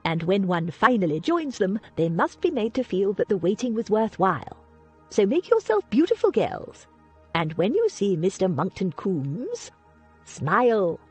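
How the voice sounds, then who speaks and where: A woman voicing a kind, shrewd elderly aristocratic lady who coaxes in a lively, animated way. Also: unhurried, slightly rough, faint music behind. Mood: coaxing. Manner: lively, coaxing, high and animated.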